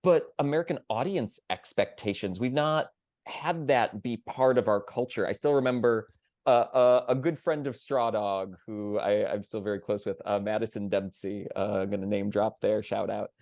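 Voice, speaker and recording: A man's voice: on the low side, normal speed, darkish tone, no room sound, clean studio sound. A man talking conversationally on a theater panel, earnest and emphatic, circling around his phrasing.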